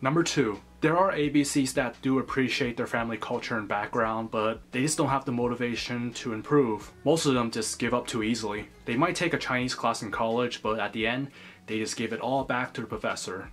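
A man's voice: mid-range voice, a little fast, a bit bright. A young man in his twenties from the United States, talking earnestly straight to camera in a small bedroom, close to the camera. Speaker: vlogger. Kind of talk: scripted vlog commentary.

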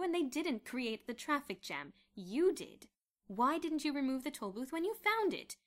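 Woman voicing a sharp, scolding wife. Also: medium pitch, close to an everyday voice. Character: indignant middle-aged wife scolding her husband.

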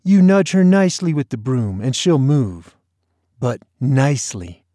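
A man's voice: mid-range voice, big pitch swings, a little slow, dark tone, no room sound, studio quality, voice pushed up. A man voicing a bossy, mischievous, teasing boy in an audiobook, with a taunting, mock-dramatic manner. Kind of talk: audiobook character dialogue.

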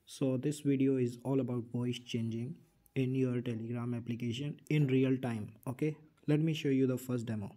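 A man's voice: medium pitch, steady tone, normal speed, warm tone. A man hosting a casual app tutorial, explaining things plainly step by step and repeating himself. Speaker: tutorial host.

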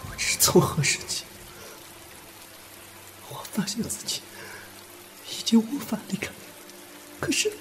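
An actor playing a gentle, devoted, heartbroken young man, speaking softly and hesitantly in a Chinese audio drama. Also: mid-range voice, expressive, normal speed, long pauses, background noise, natural voice.

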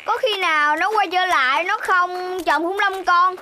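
A woman's voice: very high voice, measured pace, pushed and bright, slight background noise, heavily acted. A woman with a Southern Vietnamese accent voicing a spirited, boastful, eager little boy animal in a children's cartoon. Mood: excited.

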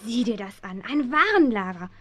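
An actress playing a bold, excitable, curious young girl detective in a children's radio play. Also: on the high side, normal speed. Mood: eager, bold. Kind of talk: 1970s German children's radio play acting.

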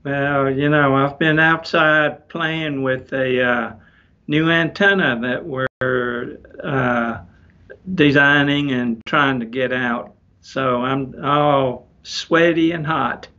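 Man in his seventies or older, slow, forceful bright tone, polite, halting, lo-fi call audio, casual guest chat. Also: medium pitch, fairly even intonation. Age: 70s or older. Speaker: company head, guest. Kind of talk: casual chat on a live show. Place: wood-panelled office, indoors. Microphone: tablet at arm's length on video call. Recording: dull lo-fi video call audio.

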